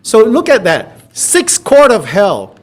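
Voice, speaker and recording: A man with a Malaysian accent teaches in a playful, conversational way. His voice sits fairly high and moves in lively swings, and he takes his time. He sounds like an informal teacher talking with a class. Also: slow, faint music behind. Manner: playful, chuckling, conversational, with repeated checks like "you know". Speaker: Buddhist teacher. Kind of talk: informal spoken teaching with questions from students.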